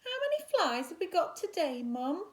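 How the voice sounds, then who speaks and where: A woman's voice: very high voice, very animated, normal speed, voice pushed up. A woman voicing a curious, earnest and wistful little girl spider in a children's storybook. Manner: earnest, questioning, plaintive.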